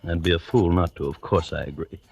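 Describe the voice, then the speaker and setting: An actor playing a wealthy, shrewd and wary politician in a 1940s radio drama, speaking in a smooth, formal, measured way. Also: male voice, sits low, fairly even intonation, heavily acted. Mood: shrewd, firm. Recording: noisy, crackly old radio recording.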